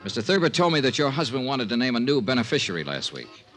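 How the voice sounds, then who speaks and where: An American actor playing a blunt, polite freelance insurance investigator in 1950s radio drama, asking direct, measured questions. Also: male voice, medium pitch, big pitch swings, fairly bright tone. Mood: probing, firm. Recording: 1950s radio recording with music.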